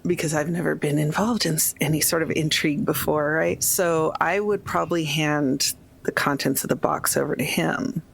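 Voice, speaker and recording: A woman's voice: sits low, steady tone, normal speed. A woman chatting casually as a player in a tabletop game, thinking aloud a little hesitantly, with some noise on the recording. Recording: clearly noisy, ambient background sound.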